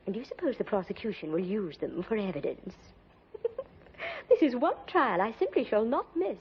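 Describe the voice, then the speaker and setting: A woman's voice: medium pitch, sing-song, normal speed, a little hiss or hum. An actress playing a frivolous, gossipy society woman in a 1950s radio drama, gushing and flippant. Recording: muffled old radio recording, faint music.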